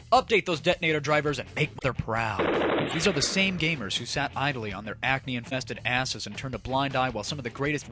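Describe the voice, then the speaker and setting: A man's voice: mid-range voice, sharp tone. A man hosting a gaming audio show with a sarcastic, theatrical, mock-grandiose comic monologue. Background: music.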